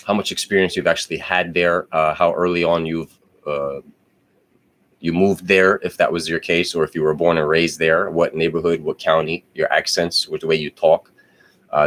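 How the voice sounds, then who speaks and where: Brief: very low-voiced guy, rambling casual storyteller, lots of "uh"s and restarts. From New Jersey. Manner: rambling, digressive, with many fillers and self-corrections. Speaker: podcast host.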